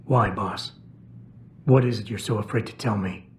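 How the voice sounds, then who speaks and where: An actor playing a brooding, skeptical ex-cop in a video game, terse and deadpan, with a gravelly voice. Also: male voice, fairly low pitch, moderate pace, close to an everyday voice. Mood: challenging. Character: brooding, skeptical male ex-cop turned security officer. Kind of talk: dramatic video game dialogue. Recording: clear background ambient noise.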